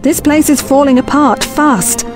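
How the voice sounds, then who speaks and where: A woman plays a brisk young expedition leader in a sci-fi audio drama. Her voice is fairly high, blunt and commanding, over a clear music bed. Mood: urgent command. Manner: blunt, authoritative.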